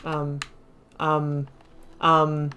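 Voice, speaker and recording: A woman's voice: sits low, monotone, very slow, pushed and bright, a little hiss or hum. A woman giving casual, rambling and reflective game commentary as she thinks aloud through a playthrough. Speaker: gaming video host.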